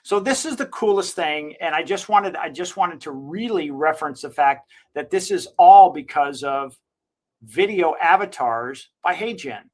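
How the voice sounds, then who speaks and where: A business owner with a slightly higher-pitched voice than he expects, casually walking through a software demo with lots of fillers and self-corrections. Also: animated, moderate pace. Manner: enthusiastic, rambling, frequent self-corrections and fillers. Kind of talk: casual screen-share tutorial.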